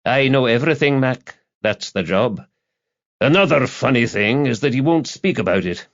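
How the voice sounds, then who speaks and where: Man acting a cocky, nosy newspaper reporter, pitched up. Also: animated, normal speed, muffled recording, lightly acted. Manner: probing, blunt, swaggering.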